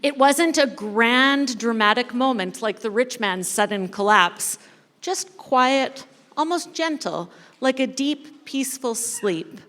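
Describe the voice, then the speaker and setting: A woman giving a playful, dramatic live narration of a story, pausing deliberately as she leads an interactive reading. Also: fairly high pitch, expressive, a bit bright. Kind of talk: theatrical live scripted narration of a parable. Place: large indoor church space before an audience. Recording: echoey hall with long reverb.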